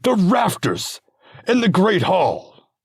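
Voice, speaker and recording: A man's voice: medium pitch, big pitch swings, takes it slowly, no echo, studio quality, voice pushed up. A man voicing an anxious young warlord and worried father who fires off frantic, exclaiming questions. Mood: urgent.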